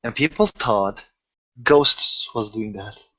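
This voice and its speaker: A man who haltingly searches for words as he improvises in a casual tabletop roleplaying session. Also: lowish voice, pushed and bright, lo-fi sound. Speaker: game master or player in a tabletop roleplaying session.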